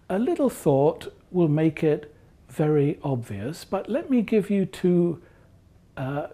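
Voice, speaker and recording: An older man talks through his research in a mellow, slightly husky voice that rises and falls a lot. He speaks in a measured, explanatory way and often pauses on "uh" between ideas. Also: on the high side. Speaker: philosophy researcher.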